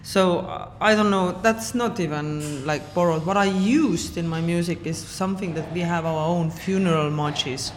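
Estonian woman saxophonist, reverberant hall. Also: on the low side. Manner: reflective, halting with many fillers and restarts. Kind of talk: interview answers. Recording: long hall reverb, faint noise.

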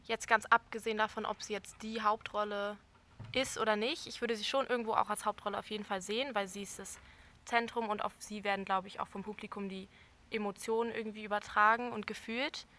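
A woman's voice: mid-range voice, fairly even intonation, fairly quick, pushed and bright. A young woman, a soprano from Berlin, answering interview questions thoughtfully and searching for words. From Berlin, Germany. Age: young adult. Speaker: soprano singer.

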